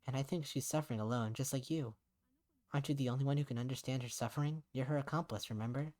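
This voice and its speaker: A man's voice: mid-range voice, quick pace, a little mellow, lightly acted. A man voicing an earnest young woman in a visual novel, blunt but caring, questioning frankly and insistently. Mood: earnest, firm.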